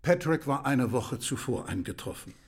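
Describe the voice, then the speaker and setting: An actor playing a dutiful, discreet manservant in a radio drama, speaking earnestly and with deference. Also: male voice, medium pitch, expressive, normal speed, husky. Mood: sombre, regretful. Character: Mister Kirby, dutiful, discreet household manservant.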